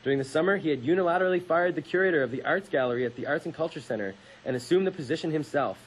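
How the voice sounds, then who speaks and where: A young man hosts a radio show in a fairly high voice with a husky edge. He moves between reading aloud and casual chat. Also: racing, low-quality recording.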